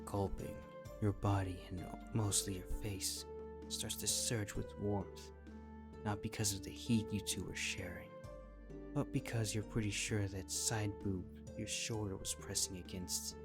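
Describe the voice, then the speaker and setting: A man's voice: on the low side, fairly even intonation, a little slow. A man reading scripted fan fiction as a story narrator. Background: music.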